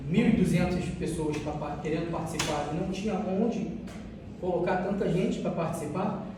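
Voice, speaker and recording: A Brazilian man giving an academic research presentation as a student, haltingly, often repeating and restarting phrases. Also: high voice, steady tone. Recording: distant mic, very echoey room, faint noise.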